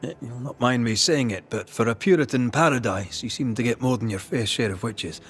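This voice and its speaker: An actor playing a plain-spoken, skeptical working ghost hunter in a video game, with a blunt, wry manner. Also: male voice, medium pitch, brisk, fairly bright tone, natural voice. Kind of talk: dramatic video game acting.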